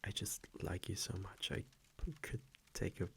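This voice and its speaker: A man playing a tender, blunt vampire boyfriend in an intimate ASMR roleplay, murmuring softly and haltingly close to the mic. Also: sits low, completely flat delivery, a little hiss or hum, exaggerated voice. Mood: flustered, apologetic. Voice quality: breathy, gravelly murmur, often whispered. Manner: soft, halting, affectionate, with small pauses. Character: tender, blunt young male vampire boyfriend, teasingly possessive. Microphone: close microphone.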